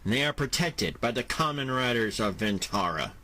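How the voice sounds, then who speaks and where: A man's voice: mid-range voice, animated, normal speed, pushed and bright. A man narrating a scripted action audio drama as its storyteller. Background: music.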